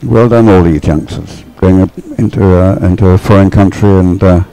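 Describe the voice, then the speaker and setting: A man co-commentates live sport in a chatty way. His voice is very low and mellow with a lot of creak, and faint music sits behind it. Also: steady tone, moderate pace, rough recording. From Great Britain.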